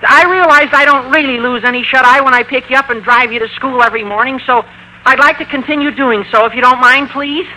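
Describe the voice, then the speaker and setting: Casting: awkward American teen boy, extremely high cracking voice, piercing, pleading, old radio comedy. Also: fairly even intonation, fairly quick, pushed and bright, heavily acted. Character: squeaky, pleading, awkward teenage boy student. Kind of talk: 1950s radio comedy acting. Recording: faintly noisy vintage radio recording.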